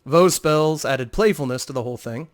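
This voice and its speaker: A man's voice: a little high, moderate pace. A man narrating a fantasy tale as a dramatic scripted audiobook reading.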